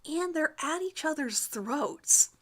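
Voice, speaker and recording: A woman's voice: high-pitched, expressive, takes it slowly, fairly bright tone, pitched above the performer's own voice, full character voice. A woman voicing a catty teenage mean girl who needles and challenges with venom in a story reading. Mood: sly, probing.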